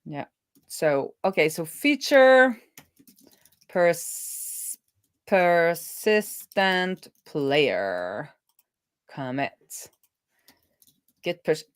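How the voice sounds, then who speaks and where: A woman hosting a casual coding stream as a web developer, talking informally and thinking out loud as she works. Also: on the low side, sing-song, very slow, pauses often, smooth, dry recording, studio quality.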